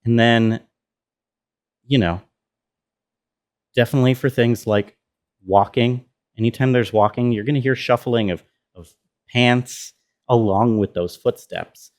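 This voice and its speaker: Guy doing a laid-back sound design walkthrough, regular pitch, explains stuff and checks you're following. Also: unhurried, pauses often. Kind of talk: casual tutorial walkthrough.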